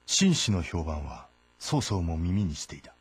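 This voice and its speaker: A man giving measured, solemn scripted narration of a historical tale. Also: low-pitched, sing-song, husky. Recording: narration over background music.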